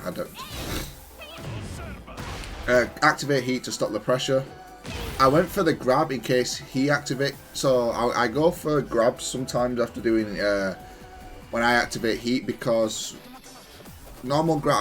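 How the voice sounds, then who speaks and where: A man giving off-the-cuff commentary on his own fighting game replays, explaining things in a hesitant, stop-start way. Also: mid-range voice. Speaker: gamer analysing own matches.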